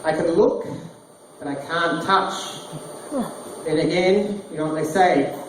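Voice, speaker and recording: A man doing stand-up one-liners in a deadpan delivery. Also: on the high side, measured pace. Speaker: stand-up comedian. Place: small bar stage, roomy and echoey. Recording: echoey distant bar recording, noisy. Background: small crowd laughing, bar noise.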